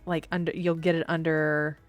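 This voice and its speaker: A woman's voice: low-pitched, level tone, moderate pace, no room sound, faint music behind. A woman from Missouri chatting casually while streaming a game, explaining things in rambling bursts with many restarts. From Missouri, USA. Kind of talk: casual chat while gaming.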